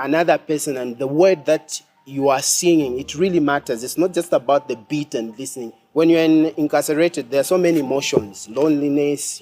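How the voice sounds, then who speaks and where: A man's voice: a little high, darkish tone. A young man from Zambia, a gospel musician, speaking earnestly and reflectively in a documentary interview outdoors. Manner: earnest, reflective, some restarts. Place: outdoors among bushes. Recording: faint music underneath.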